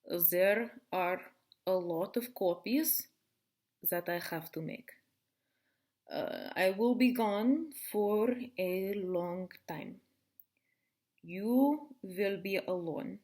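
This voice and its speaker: A woman imitating her female teacher, who speaks very slowly and deliberately with long pauses while dropping heavy hints. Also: medium pitch, darkish tone. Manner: very slow, drawn out, with pauses and "uh"s.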